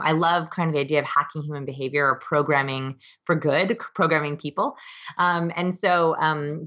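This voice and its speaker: A woman's voice: deep voice, muffled recording. A woman giving conversational, explanatory interview answers as an expert guest, with emphatic delivery. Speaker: interview guest, author and behavior researcher.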